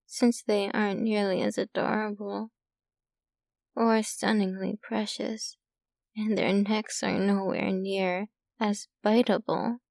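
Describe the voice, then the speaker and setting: Woman voicing a rich ancient vampire girlfriend, highish voice, very dry close sound, sweetly possessive, teasing, ASMR roleplay. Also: gravelly, a little mellow, no room sound. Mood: doting. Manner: sweetly possessive, teasing, unhurried, addressing the listener intimately.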